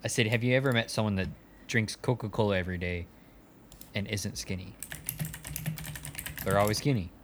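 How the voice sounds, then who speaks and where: A man playing a smug, rich male vaccine company executive, talking deadpan nonsense with bursts of giggling. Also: fairly low pitch, normal speed, pauses often, slight background noise, close to an everyday voice. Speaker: podcast guest doing a bit.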